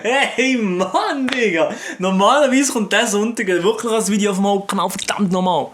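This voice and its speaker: A man's voice: sits high, normal speed, fairly bright tone, slight background noise. A young man from Switzerland with a Swiss accent, chatting casually with viewers and answering their comments in loose, slangy talk full of fillers. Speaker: YouTuber.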